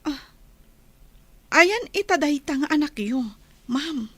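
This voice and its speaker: A woman's voice: high voice, expressive, slow, fairly bright tone, exaggerated voice. A young woman playing an earnest, respectful village girl in a radio drama, in a plaintive, polite manner. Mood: kindly. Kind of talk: Ilocano radio drama acting.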